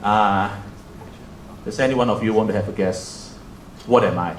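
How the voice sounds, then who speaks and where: A man's voice: lowish voice, normal speed, roomy sound, slight background noise, rough recording. A young man with a Hong Kong accent, moderating a museum roundtable with joking, self-deprecating remarks. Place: museum event hall.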